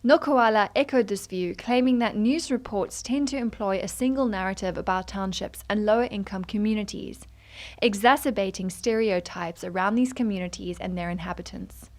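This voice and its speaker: A young adult woman narrates a written news feature. Her voice sits at a middle pitch, and she delivers the text as a scripted read, in the role of a student journalist reading an article. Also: normal speed.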